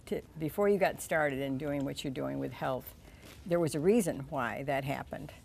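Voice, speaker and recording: A woman's voice: deep voice, moderate pace, gravelly, a little mellow, a little hiss or hum. A woman co-hosting a TV talk show, chiming in with brief questions. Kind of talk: studio talk show chat. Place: TV studio.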